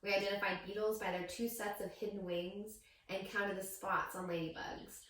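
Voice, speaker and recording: A woman reading a picture book aloud to young children as a teacher, with expressive storytelling and questions to her listeners. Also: medium pitch, moderate pace, a little hiss or hum. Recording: very echoey room, distant microphone.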